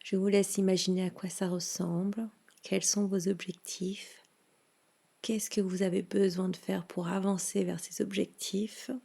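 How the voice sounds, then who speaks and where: A woman leading a guided yoga nidra relaxation in a soothing, hushed manner with long pauses. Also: mid-range voice, level tone, creaky, husky.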